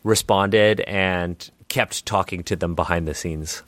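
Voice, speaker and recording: A man's voice: low-pitched, takes it slowly, a bit bright, no room sound. A man answering interview questions, explaining earnestly at length with frequent restarts. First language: Chinese not first language.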